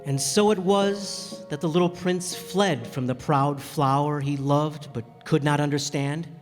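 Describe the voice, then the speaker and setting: A man acting a gentle, reflective narrator with a slightly hesitant delivery in a radio play. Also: on the high side, steady tone, unhurried, close to an everyday voice. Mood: storytelling. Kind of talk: dramatic storytelling in a radio play. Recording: echoey hall with music bed.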